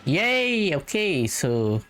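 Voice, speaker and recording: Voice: mid-range voice, very animated, drawn-out words, fairly bright tone. A gamer doing casual commentary on their own playthrough, tentatively muttering and thinking aloud. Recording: game music under the voice. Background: video game music.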